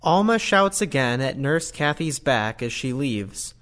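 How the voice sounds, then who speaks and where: A man's voice: mid-range voice, sing-song, measured pace, fairly bright tone. A man narrating an audio play, reading scripted stage directions plainly.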